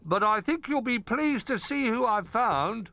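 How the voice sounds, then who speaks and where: A man's voice: very high-pitched, lively intonation, measured pace, vocal fry, pushed and bright, pitched above the performer's own voice, full character voice. A man doing a cheerful horned toy. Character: friendly male toy with horns, helpful.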